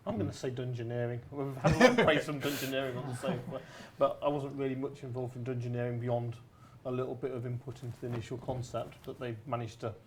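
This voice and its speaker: Fast, flat-toned man. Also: medium pitch, a crowd in the background. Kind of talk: casual panel discussion.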